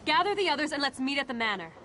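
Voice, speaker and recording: A woman's voice: high voice, sing-song, very fast, pushed and bright, full character voice. An actress playing a stern, purposeful woman leader in a fantasy video game, with an urgent, commanding manner. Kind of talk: scripted video game dialogue. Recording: clear background noise and clicks.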